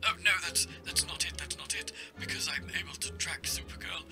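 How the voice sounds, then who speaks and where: Flustered butler a man acting, breathy, hesitant, stammering, apologetic, game roleplay, music clearly behind. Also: on the high side, fairly quick, lightly acted. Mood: flustered, eager. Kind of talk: improvised video game roleplay. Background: background music.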